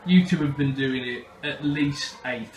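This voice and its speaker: Male interviewer, mid pitch, informal and hesitant, roomy with faint noise. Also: a little slow. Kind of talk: casual, hesitant questioning. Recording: echoey room with faint noise.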